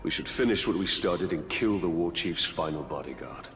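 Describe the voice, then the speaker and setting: An actor playing a grim, resolute ranger warrior in a video game cutscene, speaking in a grave, measured way. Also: male voice, sits low, lively intonation, fairly bright tone. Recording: game music behind.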